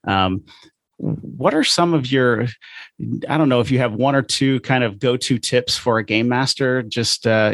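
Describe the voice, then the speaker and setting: A man's voice: medium pitch, no echo. A middle-aged man with an American accent, hosting a casual podcast interview with meandering, hesitant questions. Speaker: podcast host.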